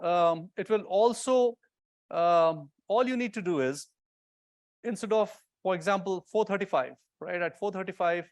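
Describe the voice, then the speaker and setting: A man gives an informal technical presentation. He explains things in a hands-on way, often pausing to check that it makes sense. The sound is dry, with very little room in it. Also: on the high side, dry recording. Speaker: conference presenter, radio builder.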